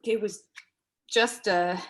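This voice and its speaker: A woman acting a blunt, nervously polite character in a naturalistic stage reading, with a halting, dry, tactless way of talking. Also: medium pitch, steady tone, fairly bright tone. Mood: dry, teasing. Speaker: amateur or local theatre actor.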